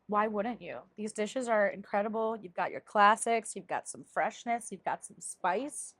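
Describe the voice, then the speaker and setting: A woman's voice: mid-range voice, moderate pace, a bit bright, dry recording. A woman reviewing food as a guest, chatting enthusiastically and gushing outdoors on a summer day. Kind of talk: casual chat.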